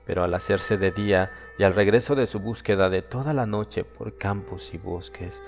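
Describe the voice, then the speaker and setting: Deep-voiced man narrating horror in cavernous reverb. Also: moderate pace. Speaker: audiobook narrator. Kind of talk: dramatic horror audiobook narration in Spanish. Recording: long reverb, music behind. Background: music.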